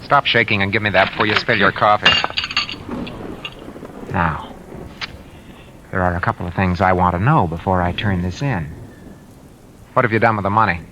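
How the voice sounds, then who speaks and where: Man acting a low-voiced, hard-boiled radio schemer. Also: moderate pace, long pauses, background noise, natural voice. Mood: stern, pressing. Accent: American. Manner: terse, hard-boiled, domineering. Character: scheming, coldly controlled husband narrating his crime. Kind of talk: 1940s radio suspense acting, noir narration. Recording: vintage radio drama under loud music and rain.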